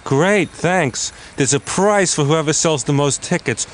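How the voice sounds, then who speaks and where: A bumbling cartoon court stenographer, acted by a man, eager and hapless. His voice sits fairly high for a man, over faint background noise. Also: a little slow, natural voice. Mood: eager, sheepish. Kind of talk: animated comedy dialogue.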